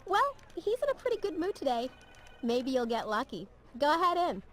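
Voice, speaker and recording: A friendly young female receptionist in a game. Her voice is very high and sing-song, bubbly and swooping. Faint noise sits behind her. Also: sing-song, normal speed, fairly bright tone. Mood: cheerful. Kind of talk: video game voice acting.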